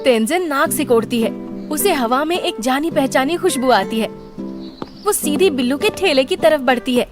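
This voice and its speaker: A woman narrates a scripted cartoon story. Her voice is clearly high in pitch, and music plays noticeably behind her. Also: animated, moderate pace.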